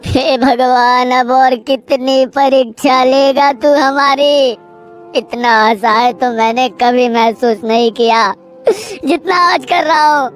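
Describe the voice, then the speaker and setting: Earnest, troubled village farmer and father, played by a woman, plaintive storybook drama, high pitch over music. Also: slow. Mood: bitter despair. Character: troubled poor male farmer, husband and father.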